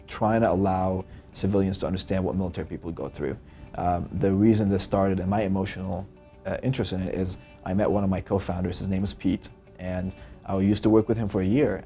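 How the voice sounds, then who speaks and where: A man's voice: low-pitched, mellow. A young man, a startup founder giving earnest interview answers with frequent fillers. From Lebanon.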